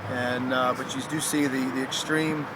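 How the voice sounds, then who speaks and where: A man's voice: medium pitch, level tone, normal speed. A man casually presenting an item to camera in unscripted talk. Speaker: website owner presenting an item. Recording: very noisy, traffic-like outdoor ambience.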